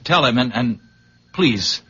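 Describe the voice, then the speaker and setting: An actor playing a polite, awestruck young visitor in a 1970s radio drama, earnest and stammering, with a rough voice. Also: male voice, medium pitch, lively intonation, slow pace, a bit bright, exaggerated voice. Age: young adult. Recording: dull vintage radio recording.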